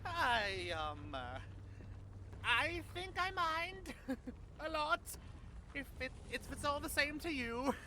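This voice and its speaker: A tall, gentle skeleton stammers out pleas in a high, wavering voice, very slow and broken up. His voice swings widely as the fear shakes it. A faint hiss of noise runs underneath. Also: sing-song, heavily acted. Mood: frightened. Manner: stammering, frightened. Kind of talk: fan audio drama.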